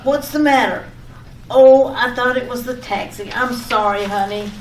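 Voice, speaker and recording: A woman's voice: a little high, moderate pace, natural voice. A woman playing a fussing, affectionate, nagging wife in an old-time radio comedy skit, often repeating herself. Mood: fond, fussy. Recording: echoey room, distant mic, background noise.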